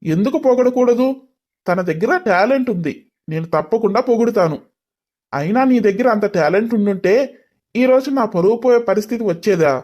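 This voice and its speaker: A man plays a young businessman in an audio story. He is irritable, scolding and quick to taunt. His speech is sprinkled with English words. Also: sits high, lively intonation, darkish tone. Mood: taunting, accusing. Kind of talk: dramatised story dialogue.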